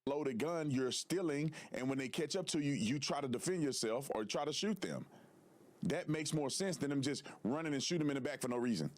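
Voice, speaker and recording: A man giving measured, slightly halting commentary in a clip played on a stream. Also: on the high side, darkish tone, no echo. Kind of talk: explanatory commentary.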